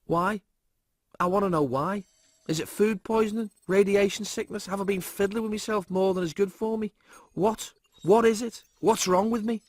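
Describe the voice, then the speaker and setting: Lister, a whiny, high-strung slob. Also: male voice, fairly even intonation, moderate pace, no echo. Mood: agitated, indignant. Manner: indignant, pleading. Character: whiny, agitated young working-class slob, Lister.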